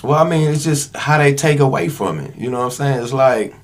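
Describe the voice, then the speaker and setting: A man's voice: mid-range voice, a little fast. A man speaking as a podcast guest in casual, slangy conversation, candid and emphatic about his work as an actor. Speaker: interview guest, actor and independent filmmaker.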